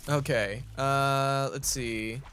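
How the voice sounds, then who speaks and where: A man's voice: mid-range voice, fairly even intonation, extremely slow, a bit bright. A man giving casual, offhand let's play commentary on a video game in a conversational way. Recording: faint noise, game audio behind.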